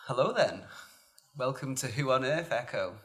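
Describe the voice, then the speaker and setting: A man's voice: medium pitch, lively intonation, normal speed, a bit bright. A man hosting a podcast, chatting in an easygoing way and laughing along with his guest. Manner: enthusiastic, salesy in the ad, easygoing when chatting. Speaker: podcast host. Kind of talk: upbeat promo read, then casual interview. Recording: echoey room, faint background noise.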